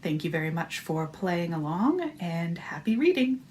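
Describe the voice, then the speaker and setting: A woman with a slightly low voice presents trivia as an author. She gives a prepared talk to viewers, with faint noise behind her. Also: very animated, normal speed, a little mellow.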